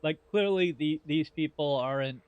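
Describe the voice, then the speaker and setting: A man giving casual let's play commentary, wry and halting as he thinks aloud. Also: fairly high pitch, clear, resonant tone, no echo, narrow, tinny audio. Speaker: gaming video creator.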